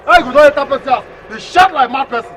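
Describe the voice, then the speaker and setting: A man's voice: very high voice, very fast, a bit bright, a little hiss or hum. A man with a Nigerian Pidgin accent voicing a grumbling gateman who scolds and complains in exasperation. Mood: indignant.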